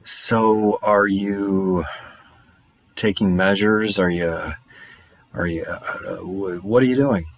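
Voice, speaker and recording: An actor playing a hesitant, awkward podcaster in a naturalistic audio drama, halting and stammering in a low-key, casual way. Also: male voice, fairly even intonation, dry recording. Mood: hesitant, resigned. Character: hesitant, awkward male podcaster enduring a zombie outbreak.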